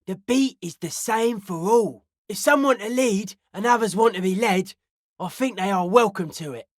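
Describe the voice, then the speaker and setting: Woman voicing a deadpan, suspicious young raver, dry studio sound. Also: mid-range voice, moderate pace, dry recording, natural voice. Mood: deadpan, wary. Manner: deadpan, clipped, cryptic pronouncements. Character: suspicious, aloof young hardcore raver with cryptic theories. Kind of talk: video game character dialogue.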